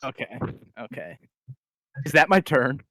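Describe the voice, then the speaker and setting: A man's voice: mid-range voice, expressive, a little slow, a bit bright, slight background noise, rough recording. A man playing a tabletop game, talking hesitantly with many ums and restarts. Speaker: player.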